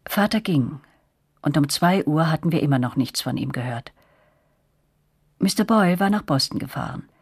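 Low, very husky storyteller. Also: female voice, lively intonation, normal speed, pauses often, dry recording, studio quality, heavily acted. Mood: reflective, uneasy. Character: reflective woman recalling her frightened girlhood. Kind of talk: first-person radio drama narration.

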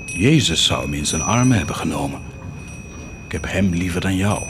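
An actor playing a fiery, indignant preacher in a theatrical radio play, declaiming with passion against the sale of indulgences. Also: male voice, low-pitched, animated, normal speed. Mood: challenging. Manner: declamatory, impassioned. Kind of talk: theatrical radio drama acting. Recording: noisy radio play recording.

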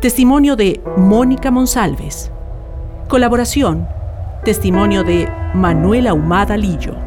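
A woman's voice: mid-range voice, measured pace, over music. A woman reading a solemn scripted narration for radio.

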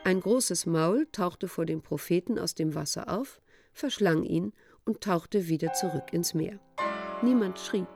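Low, creaky-voiced woman narrator over faint music. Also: a little mellow. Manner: measured, sober, matter-of-fact storytelling. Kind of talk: literary radio drama narration.

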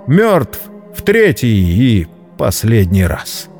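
A man narrating a scripted story dramatically, in a solemn, measured literary manner. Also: on the low side, wildly swooping pitch, a little mellow. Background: background music.

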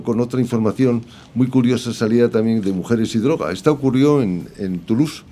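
A man giving casual, amused radio commentary on news stories in a halting way. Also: mid-range voice, mellow. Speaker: radio show contributor. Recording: faint background noise.

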